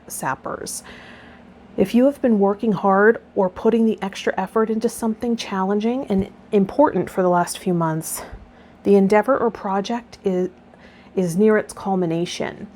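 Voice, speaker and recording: A woman's voice: medium pitch, mellow, slight background noise. A woman speaking as a tarot reader and spiritual coach, moving between reading aloud and casual guided talk to her viewers. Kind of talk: tarot lesson, partly read aloud, with guided meditation and casual vlog talk.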